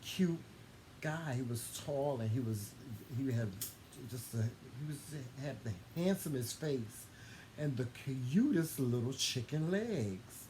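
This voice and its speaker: A man's voice: medium pitch, expressive, slow pace, dark tone. A man telling a personal story in a casual, conversational way, gushing affectionately and often circling back to repeat his phrases. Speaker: co-host telling a couple's story. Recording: clearly noisy room ambience.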